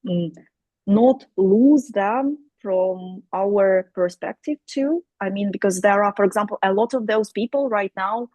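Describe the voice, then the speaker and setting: A woman whose first language is Crimean Tatar, speaking up from the audience at a discussion, hesitant and pausing often with fillers as she searches for words. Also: mid-range voice, mellow, no echo. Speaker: audience member asking a question. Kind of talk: spontaneous discussion remarks.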